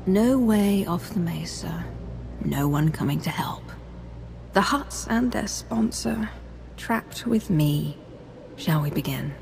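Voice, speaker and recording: A woman's voice: lowish voice, animated, slow pace, creaky, darkish tone, a little hiss or hum, full character voice. A woman playing a cold, imperious Sith warlord, curt, commanding and menacing, in a video game. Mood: cold menace. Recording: music behind the voice.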